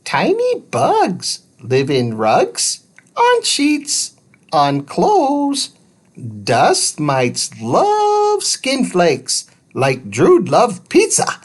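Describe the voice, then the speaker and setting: A woman's voice: fairly high pitch, huge pitch swings, very slow, pitched above the performer's own voice, full character voice. A woman voicing a chirpy little robot helper. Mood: eager, explanatory. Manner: clipped broken sentences, chirps before explaining. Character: small helpful robot with a face screen, speaking broken simplified English.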